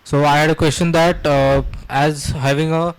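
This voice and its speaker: A young man, a student, asks a question in a hesitant, halting way. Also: fairly high pitch, little pitch movement, clean tone, dry recording, poor audio. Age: young adult.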